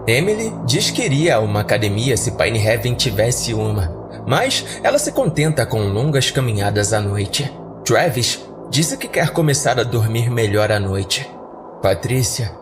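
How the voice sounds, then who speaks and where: A man with a Brazilian accent narrating a scripted first-person horror story for a podcast. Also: fairly low pitch.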